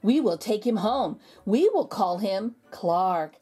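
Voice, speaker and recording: A woman reading a children's storybook aloud in a deliberate, rhythmic, expressive manner. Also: medium pitch, breathy edge. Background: background music.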